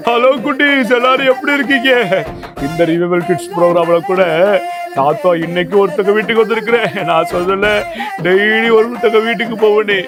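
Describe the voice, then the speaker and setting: A man's voice: high-pitched, fairly quick, over music, lightly acted. A man playing a jolly old Christmas grandfather who hosts a children's show, chuckling and repeating his phrases playfully. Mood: jolly, chatty.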